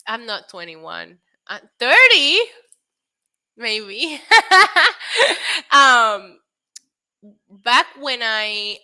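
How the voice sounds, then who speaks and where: A woman in her late thirties hosting a casual wrestling live stream, excitable and a little tipsy, laughing, swearing and dropping in Spanish phrases as she answers chat. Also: medium pitch. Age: 30s. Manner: frequent laughter and profanity. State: tipsy from drinks. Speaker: wrestling show host.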